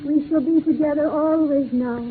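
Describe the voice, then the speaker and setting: An actress playing an eerie, insistent dead bride summoned from the grave, speaking as if in a trance in 1940s radio horror style. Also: female voice, sits high, steady tone, phone-quality sound, lightly acted. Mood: relentless. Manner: slow, trancelike, chanting repetition. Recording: thin, hissy 1940s radio recording.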